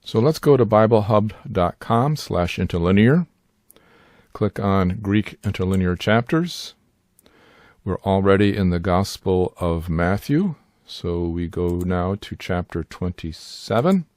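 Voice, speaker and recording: Older male teacher, low-pitched, deliberate scripted delivery, dry close recording. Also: expressive, darkish tone, dry recording. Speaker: Bible teacher and sign language interpreter. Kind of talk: scripted instructional narration.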